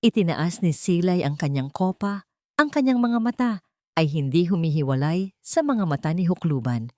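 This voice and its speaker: A woman narrating a scripted story in a deliberate, suspenseful, dramatic way. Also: lowish voice, animated, darkish tone, no room sound, studio quality.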